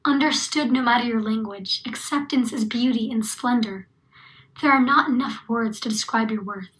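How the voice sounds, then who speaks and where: A woman's voice: fairly high pitch, a bit bright, low-quality recording. A woman reciting spoken-word poetry at a poetry slam.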